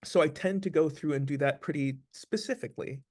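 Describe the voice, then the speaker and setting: A man with a somewhat higher-pitched voice talks as an actor in an interview. He explains in a conversational style, thoughtfully and deliberately, laying things out point by point. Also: a little mellow.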